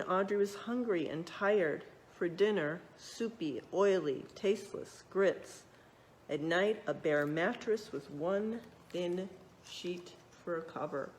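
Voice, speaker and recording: A woman's voice: lowish voice, poor audio. Woman reading to children over background noise. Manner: expressive, pausing, checking in with questions for young listeners. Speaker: read-aloud storyteller. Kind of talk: reading a children's picture book aloud with asides to listeners.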